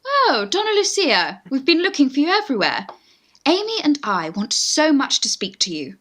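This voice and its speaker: Farce role: woman playing a genteel British young lady, coaxing and gushing, higher pitch, very animated. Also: normal speed, exaggerated voice. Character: coaxing, eager young Victorian lady in love. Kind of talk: Victorian stage farce, radio play acting.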